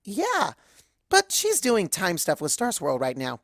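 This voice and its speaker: A woman voicing an excitable young female cartoon pony, bubbly and breathless, rambling through a first-person story. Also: lowish voice, a little slow, no room sound, studio quality, exaggerated voice. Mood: cheerful.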